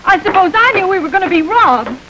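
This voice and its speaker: A woman's voice: very high voice, expressive, fairly quick, muffled recording, background noise. An actress playing a trusting young woman about to be married, earnest and hopeful, in a 1930s crime film. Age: young adult.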